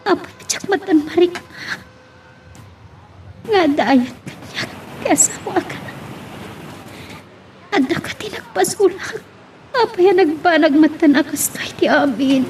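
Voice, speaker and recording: A woman's voice: very high-pitched, animated, a little slow, pauses often, a little mellow, slight background noise, low-quality recording. A woman with an Ilocano Filipino accent playing a troubled, conflicted wife and mother in an audio drama. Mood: anguished. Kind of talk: Ilocano radio-style drama acting.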